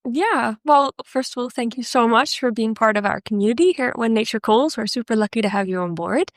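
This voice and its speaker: Bright chatty young New woman. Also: fairly high pitch, fairly quick, dry recording, studio quality. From New Zealand. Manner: earnest and chatty, many "um" and "yeah" fillers. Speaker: guest. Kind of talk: casual interview chat.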